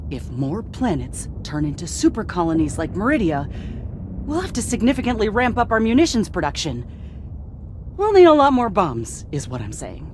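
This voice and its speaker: An actress playing a pragmatic, concerned ship crew specialist in a video game, plain-spoken and emphatic. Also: female voice, huge pitch swings, moderate pace. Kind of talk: scripted video game voice acting. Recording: rumbling ship engine noise.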